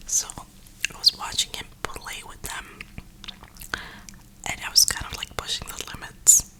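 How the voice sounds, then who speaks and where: A young woman rambling casually in a soft, breathy ASMR whisper, with informal, slangy phrasing. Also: a little slow, low-quality recording. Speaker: ASMR gaming streamer.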